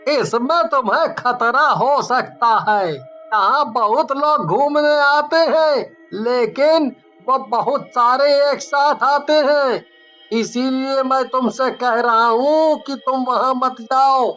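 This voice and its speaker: Protective old villager for a horror story, high, slow, lo-fi; male performer. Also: sharp tone, faint music behind, exaggerated voice. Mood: warning. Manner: measured, warning. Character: cautious elderly male tea seller, protective.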